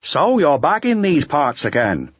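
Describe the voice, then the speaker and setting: A man acts out a garrulous, conniving barman in a dockside dive for an audiobook. The voice is pitched well up and runs on in colloquial working-class phrases. The recording is dry and a little dull. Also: wildly swooping pitch, unhurried, slightly rough, no room sound, exaggerated voice. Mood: chatty. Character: chatty, conniving male harbour barman. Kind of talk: audiobook character dialogue.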